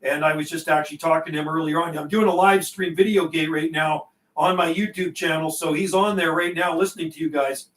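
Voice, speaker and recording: A man's voice: fairly high pitch, fairly even intonation, a little fast, fairly bright tone, roomy sound, far from the mic. A middle-aged man chatting casually over CB radio on a livestream, folksy and given to repeating himself. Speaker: CB radio operator and livestream host.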